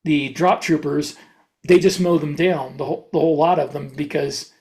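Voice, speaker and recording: A man speaks as an author and podcast host in a casual discussion. He lays out his explanations haltingly, often backing up to restart a thought. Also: fairly high pitch, steady tone, darkish tone.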